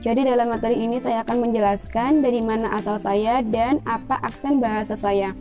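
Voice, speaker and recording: A young woman from Central Java with a Javanese accent, reading a prepared university presentation in a steady, list-like way. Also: fairly high pitch, brisk, music in the background. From Purbalingga, Central Java.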